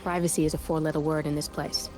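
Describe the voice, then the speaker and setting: Sardonic hacker girl, woman voicing, lowish voice, blunt wry briefing, game score behind. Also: flat delivery, quick pace, warm tone, soft background music, natural voice. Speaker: hacker and handler. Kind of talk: video game cutscene acting.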